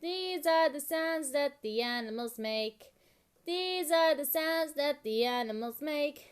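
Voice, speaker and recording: A woman delivering a children's animal-sounds rhyme in a simple, repetitive, deliberate sing-song. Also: high voice, lively intonation.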